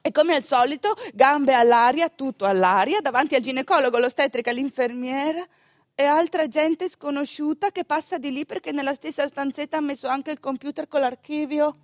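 A very high-voiced mother-to-be in labour, as an actress plays her, telling her story in a comic radio monologue. She is anxious and over-polite, full of sarcastic asides, and the recording is close and dry. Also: moderate pace, dry recording, close to an everyday voice. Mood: wry storytelling. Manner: animated, exclaiming, ironic asides to the audience.

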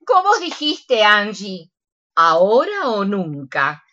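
A woman's voice: medium pitch, wildly swooping pitch, takes it slowly, sharp tone, dull audio, full character voice. An actress playing a flustered, giddy older woman with an Argentine accent in a comic radio play. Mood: bold. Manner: hesitant, flustered, polite. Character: giddy, daring older woman, nervous and tipsy.

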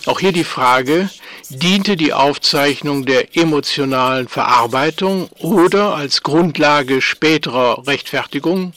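A sober compiler of a dossier. His fairly high voice is dryly recorded and close, delivering formal, measured documentary narration. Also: fairly bright tone, no room sound. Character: sober male compiler reading out a dossier commentary. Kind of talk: scripted documentary-style narration in radio drama.

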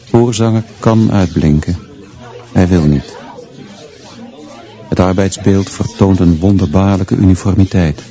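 Stern teacher-narrator for a radio play: grim, deadpan, unhurried, low-pitched. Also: male voice, lo-fi sound. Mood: stern, detached. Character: stern male schoolteacher narrating his monstrous pupils grimly.

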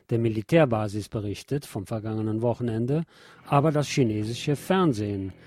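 A man's voice: mid-range voice, darkish tone. A man hosting a radio programme, reading press reports aloud and commenting on them. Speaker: radio show host.

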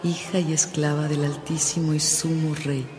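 An actress playing a devout, gentle mother in a radio drama, soothing and reverent in manner. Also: low-pitched, level tone, moderate pace, a crowd in the background, lightly acted. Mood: prayerful. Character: devout, gentle mother guiding her young children. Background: music.

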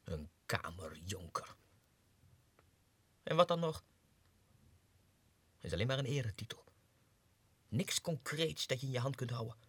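An actor playing a deluded, self-important low-ranking Russian clerk going mad, in a theatrical radio drama monologue that swings between muttering and agitated outbursts. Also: male voice, expressive, a little slow, long pauses, husky, no room sound. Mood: scornful, reasoning. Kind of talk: 1960s radio drama monologue, diary entries. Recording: dry 1960s radio studio recording.

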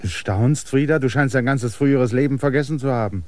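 An actor in a German radio drama playing a restless, stubborn outsider with a theatrical, intense delivery. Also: male voice, mid-range voice, fairly quick, a little mellow, dry recording, lightly acted. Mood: earnest. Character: restless, stubborn outsider man, anxiously waiting, bitterly proud.

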